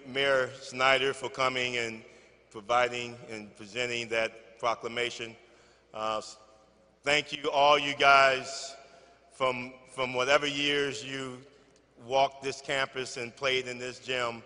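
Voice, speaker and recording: Loud-voiced man in an echoing gym. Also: medium pitch, flat delivery, smooth. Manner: off-script, halting with fillers. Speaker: ceremony speaker. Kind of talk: informal ceremony speech. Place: gymnasium.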